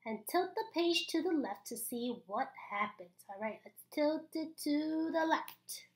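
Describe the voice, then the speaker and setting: A young woman with a high voice hosts an interactive story time for little kids. Her delivery is slow and very lively, swinging up and down with exaggerated encouragement. She speaks as if guiding children through each page. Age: young adult. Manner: animated, exaggerated, encouraging, with audible gasps and pauses. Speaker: story-time host reading a picture book aloud. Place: small indoor room.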